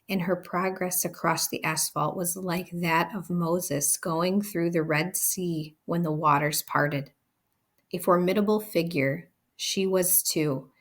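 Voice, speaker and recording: A woman reading a children's story aloud as expressive scripted narration. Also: on the low side, gravelly. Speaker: storybook reader.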